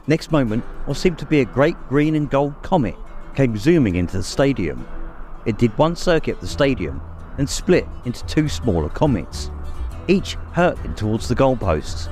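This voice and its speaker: A man reading a story aloud as dramatic scripted narration, expressive and suspenseful. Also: mid-range voice, normal speed. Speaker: audiobook reader. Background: music, immersive production.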